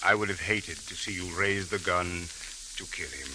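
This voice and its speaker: An actor playing a courteous, dignified Cairo police captain with formal, measured wording in an old radio drama. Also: male voice, low-pitched, lightly acted. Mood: calm, explaining. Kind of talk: 1940s-50s radio drama acting. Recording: hissy, crackly old radio recording.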